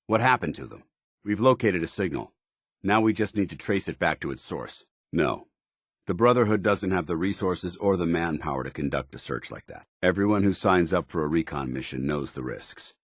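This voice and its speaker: A stern, duty-bound military officer from a video game. His voice is extremely low and rough-edged, recorded dry and close. He speaks in clipped, formal phrases with the weight of command. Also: no echo, exaggerated voice. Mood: urgent questioning, then measured, official. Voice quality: deep, gravelly. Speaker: video game companion character. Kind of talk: scripted video game dialogue.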